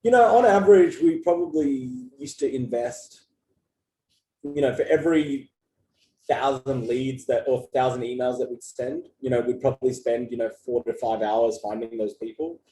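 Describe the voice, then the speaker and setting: A man's voice: medium pitch, normal speed, dark tone. A man who co-founded an agency, answering interview questions in a loose, conversational way with plenty of fillers and restarts. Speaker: agency co-founder giving customer feedback. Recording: echoey room with faint ambience.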